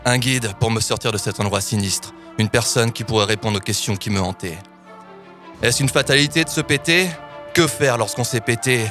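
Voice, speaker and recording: A man's voice: medium pitch, pushed and bright. A man in his thirties delivering a scripted humorous column for a podcast in a playful, informal, mock-dramatic way. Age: 30s.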